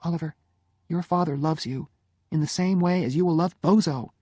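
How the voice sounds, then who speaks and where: A man's voice: fairly high pitch, moderate pace, warm tone, breathy edge, no room sound, pitched above the performer's own voice. A man voicing a young wife in an audiobook story, hurt and exasperated, pleading with emphatic emotion. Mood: earnest reproach.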